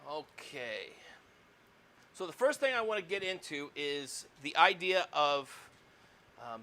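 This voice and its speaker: A man lecturing on electronics with a loud voice that fills the room, pitched a little above the usual male range. He is recorded on a clip-on mic. He keeps checking in with his students as he talks and fills his pauses with lots of ums and uhs. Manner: loud projecting voice, says he yells; frequent fillers and tag questions. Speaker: college electronics instructor. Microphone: lapel mic.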